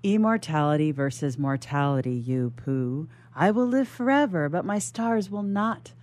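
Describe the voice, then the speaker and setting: Woman as dreamy, headstrong noble lady, lowish voice. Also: animated, normal speed, darkish tone. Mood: wistful. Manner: poetic, musing.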